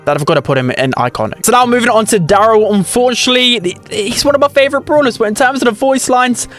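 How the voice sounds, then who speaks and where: A man's voice: extremely high pitch, animated, fast talker, a bit bright, soft background music. A young man giving casual, chatty gaming commentary in a run-on delivery. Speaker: gaming video host.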